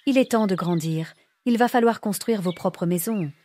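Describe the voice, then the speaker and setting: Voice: medium pitch, normal speed, darkish tone, slight background noise, natural voice. A performer voicing a tender mother pig in a children's cartoon tale, speaking with affectionate, cautioning care. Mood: tender warning.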